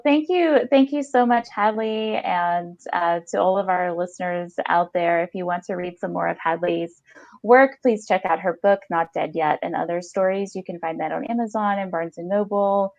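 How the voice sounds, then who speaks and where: A woman in middle age co-hosts a podcast with an effusive, conversational manner. Her voice sits fairly low, captured close and dry on a slightly muffled video call. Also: moderate pace, gravelly, a little mellow, dry recording. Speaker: podcast co-host. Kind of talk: casual literary discussion. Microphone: headset on a video call.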